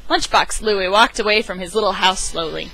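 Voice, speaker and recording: A woman's voice: medium pitch, moderate pace, bright, forceful tone, a little hiss or hum, poor audio. A woman reading a children's story aloud in a dramatic narrating style. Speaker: storybook reader. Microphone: webcam.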